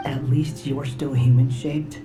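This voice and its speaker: A man's voice: mid-range voice, flat delivery, measured pace, close to an everyday voice. A man voicing a dry, matter-of-fact young companion in an anime video game. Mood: dry, practical. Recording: roomy sound with music.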